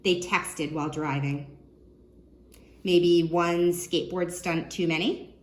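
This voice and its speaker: Woman, slightly low voice, lively swooping intonation, children's librarian, read-aloud style, expressive, questions to young listeners.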